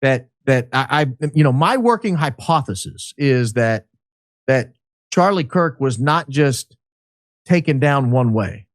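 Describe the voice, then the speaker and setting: A man speaking as a podcast guest, giving speculative commentary in an emphatic, deliberate way. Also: mid-range voice, expressive, dry recording, clean studio sound. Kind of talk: speculative commentary in a talk show.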